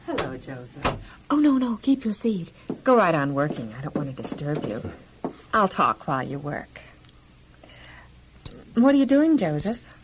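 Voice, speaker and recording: An actress playing a rich man's admiring, coaxing wife in a children's audio Bible drama, coy and gushing with drawn-out emphasis. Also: deep voice, sing-song, background noise.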